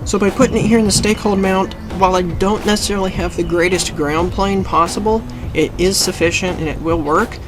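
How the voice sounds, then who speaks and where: A man's voice: high voice, fairly even intonation, brisk, hardly any pauses, over music. A man hosting a DIY video, explaining things methodically, step by step, in an instructional style. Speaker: host of a DIY how-to channel. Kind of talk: instructional how-to commentary.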